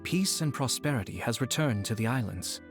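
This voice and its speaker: Reserved gentleman male actor: medium pitch, measured and courteous game delivery, light music behind. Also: expressive, lightly acted. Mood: calm, formal. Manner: measured, formal. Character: reserved, courteous adult man, calm and formal. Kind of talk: English video game voice acting.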